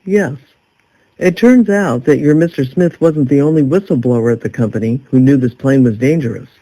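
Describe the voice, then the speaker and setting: A man playing a composed, pragmatic newspaper boss with a measured delivery in an audio drama. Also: mid-range voice, warm tone, lightly acted, poor audio. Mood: composed, dry.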